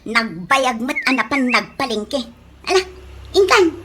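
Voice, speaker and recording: An actress playing a bossy young restaurant worker in a radio comedy drama, curt and commanding. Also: female voice, high-pitched, lively intonation, measured pace, noisy background. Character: bossy young female restaurant worker ordering others around.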